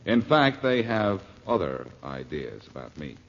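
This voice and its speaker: An actor playing an earnest, resolute detective hero, polite and firm, in a 1940s radio drama. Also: male voice, low voice, very animated, measured pace, full character voice. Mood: determined. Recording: dull, noisy 1940s radio recording.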